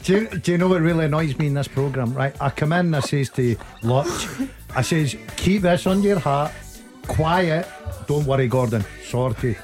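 A Scottish football pundit on a studio radio show, joking and defending himself in casual banter. Faint music plays behind him. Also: male voice, fairly high pitch, expressive, moderate pace, a little mellow.

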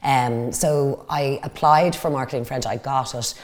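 A woman's voice: a bass voice, a little slow. A woman from Dublin with an Irish accent, answering interview questions conversationally as a business guest. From Dublin, Ireland. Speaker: interview guest, company executive.

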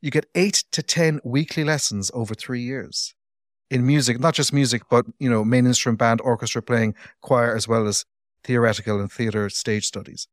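A man's voice: mid-range voice, fairly even intonation, moderate pace, no room sound. An Irish man hosting a podcast interview, chatty and playful with teasing asides, recorded on a close mic. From Ireland. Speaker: podcast host. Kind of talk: casual interview.

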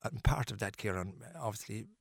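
A man's voice: on the low side, moderate pace, creaky, no room sound, clean studio sound. A middle-aged Irish man, a musician being interviewed, talking earnestly and emphatically with many restarts and fillers. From Ireland.

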